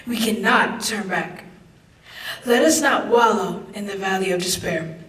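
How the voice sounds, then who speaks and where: A young student giving a solemn, deliberate dramatic reading of a famous speech. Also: mid-range voice, fairly bright tone. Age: young adult. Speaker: student reader. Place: large echoey room at a public event. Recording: echoey hall, far from the mic.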